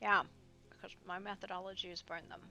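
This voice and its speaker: A woman's voice: medium pitch, level tone, fairly quick, pushed and bright, soft background music. A woman roleplaying her character, talking in a dry, blunt, somewhat sarcastic way. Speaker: streamer playing her character.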